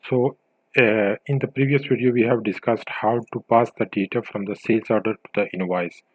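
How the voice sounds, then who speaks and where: A man presenting a screencast software tutorial as a developer, explaining step by step in halting, pieced-together phrases. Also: fairly low pitch, fairly even intonation, slightly rough, no echo, phone-quality sound.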